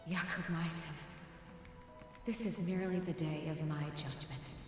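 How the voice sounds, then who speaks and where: Solemn resigned mom in a fantasy game, lowish voice, tons of echo. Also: female voice, fairly even intonation, measured pace. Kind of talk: dramatic video game voice acting. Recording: very echoey hall, faint music.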